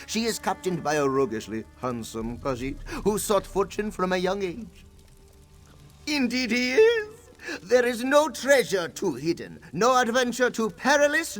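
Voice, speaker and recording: A man's voice: high-pitched, huge pitch swings, a little slow, a bit bright, soft background music, heavily acted. A man voicing a boastful, theatrical cat-man pirate captain in a video game, honorable and given to speaking of himself in the third person. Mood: boastful, playful.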